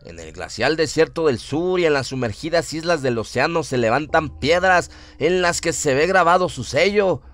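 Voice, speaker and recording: A man giving a solemn, theatrical narration of a horror radio drama, with deliberate phrasing. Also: on the high side, expressive, fairly bright tone, dry recording. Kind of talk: dramatic scripted horror narration, audiobook-like reading. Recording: music under the voice. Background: atmospheric music bed.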